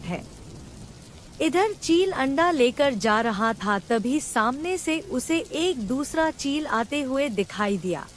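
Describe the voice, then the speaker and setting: A woman's voice: sits high, expressive, slow. A woman narrating a scripted cartoon children's story as the storyteller.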